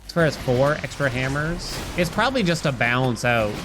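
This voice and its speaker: A man's voice: mid-range voice, lively intonation, moderate pace, rough recording. A man livestreaming a video game, musing aloud and chatting casually with his viewers in a conversational way. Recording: game music behind the voice.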